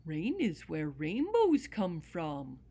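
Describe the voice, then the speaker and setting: A woman voicing a cheerful, chatty female dog in a storybook, in a bubbly soft manner. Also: on the low side, huge pitch swings, slow pace, slightly rough, voice pushed down, heavily acted. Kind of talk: storybook character voice.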